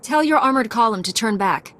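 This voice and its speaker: An actress playing a confident, composed young warrior knight in a video game, with a dry, self-assured manner. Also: female voice, on the high side, lively intonation, fairly quick, fairly bright tone. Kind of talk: dramatic video game acting.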